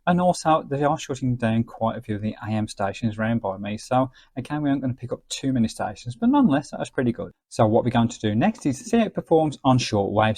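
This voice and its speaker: Casting: male gadget reviewer, a touch husky, recorded dry, narrating a demonstration. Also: mid-range voice, a little mellow, no echo. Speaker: reviewer and presenter of gadget videos. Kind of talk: scripted-sounding product review narration.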